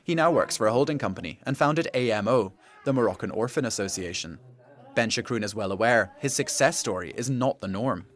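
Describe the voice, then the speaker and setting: A man narrating a radio documentary feature as the reporter, in a calm, measured scripted delivery. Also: mid-range voice.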